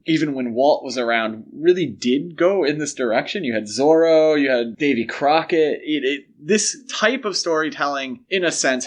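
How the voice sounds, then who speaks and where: A man with a medium-pitched voice co-hosts a casual discussion podcast. He explains things step by step in a conversational way, as if talking a topic through with a partner. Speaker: podcast co-host. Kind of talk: casual film franchise discussion.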